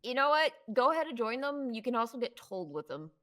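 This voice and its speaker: A woman's voice: on the high side, very animated, fairly quick, fairly bright tone. A woman playing a cagey, stubborn law officer in casual online roleplay, teasing and sarcastically evasive. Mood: teasing, sarcastic.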